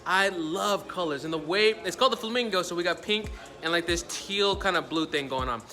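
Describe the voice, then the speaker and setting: High-pitched guy doing a hostel walkthrough vlog, chatty, keeps saying guys. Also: fairly quick, soft background music. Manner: energetic, chatty, keeps addressing viewers as guys. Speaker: travel vlogger. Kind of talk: walking tour vlog. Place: hostel, moving between rooms.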